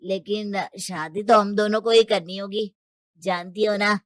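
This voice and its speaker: A woman's voice: medium pitch, fairly even intonation, a little slow, no room sound, clean studio sound, close to an everyday voice. A woman voicing a breezy, indifferent rich young husband in a cartoon moral story, casual and offhand.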